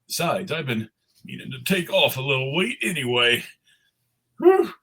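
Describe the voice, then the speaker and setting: A man voicing a cheerful, naive snowman, gentle and jokey, in a playful cartoon table read. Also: medium pitch, big pitch swings, normal speed, husky, heavily acted. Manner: playful, earnest.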